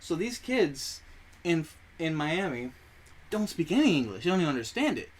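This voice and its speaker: A man's voice: fairly high pitch, very animated, moderate pace. A young man whose first language is Spanish, co-hosting a podcast in casual chat and rambling through stories while he often corrects himself. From United States. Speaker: podcast co-host.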